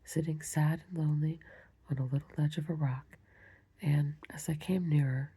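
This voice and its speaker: Soft, gravelly whispering storyteller. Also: mid-range voice, fairly even intonation, normal speed. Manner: soft, apologetic, chatty asides. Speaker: storyteller reading aloud. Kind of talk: whispered bedtime story reading.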